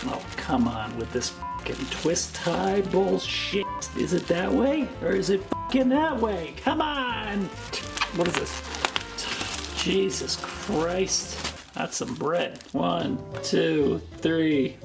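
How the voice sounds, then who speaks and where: A man with a raspy voice hosting a comedic cooking vlog, talking sarcastically and swearing heavily with rambling asides. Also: high-pitched, very animated, slow pace, over music. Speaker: cooking video host.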